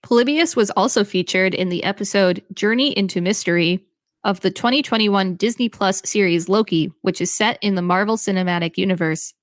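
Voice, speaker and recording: A woman's voice: medium pitch, fairly quick, dry recording, clean studio sound. A woman co-hosting a podcast, reading an encyclopedia article aloud with care.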